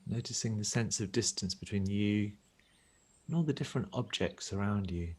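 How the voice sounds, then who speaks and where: A man with a deep voice and a husky grain leads a guided meditation. He speaks gently and takes his time, leaving long pauses between phrases as a teacher would.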